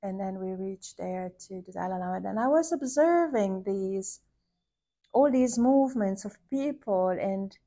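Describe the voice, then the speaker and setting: Woman, soft thoughtful storytelling, lots of restarts and pauses, mid-pitched. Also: lively intonation. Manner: hesitant, searching for words, many restarts; soft and contemplative, rising to warm emphasis. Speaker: podcast host recounting a visit. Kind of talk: reflective personal storytelling.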